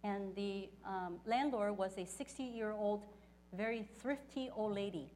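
A woman working as a church interpreter, relaying a testimony phrase by phrase in a halting delivery. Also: mid-range voice, darkish tone, a little hiss or hum. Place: church service.

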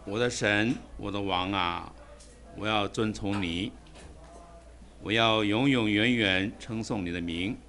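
Male reader, very slow bright low voice, psalm read verse by verse, faint ambience. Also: slight background noise. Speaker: scripture reader. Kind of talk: slow scripture reading.

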